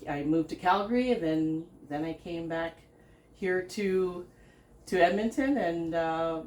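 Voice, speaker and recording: A middle-aged woman hosting a podcast from a living room sofa, telling her own story in a halting, casual way with many fillers and short laughs. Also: fairly low pitch, darkish tone, roomy sound. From Philippines, raised in Canada. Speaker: podcast host and business founder. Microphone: stand mic between speakers.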